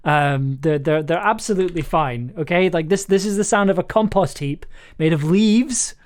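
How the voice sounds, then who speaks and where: A streamer talks casually through an audio edit in a medium-pitched voice. The delivery is halting and circles back over words, with some keyboard typing behind it. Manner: halting, repeats words and phrases. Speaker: streamer, audio drama producer and editor. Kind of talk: casual livestream commentary while editing.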